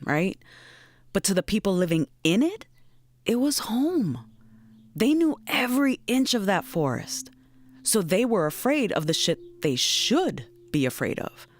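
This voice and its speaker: An actress playing a blunt, wry, brooding woman who swears and muses in naturalistic audio drama dialogue. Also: lowish voice.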